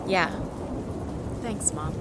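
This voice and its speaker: An actress playing a caring, earnest young daughter who works as a space mission scientist in an audio drama. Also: female voice, fairly low pitch, extremely slow, very noisy. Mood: bittersweet. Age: young adult.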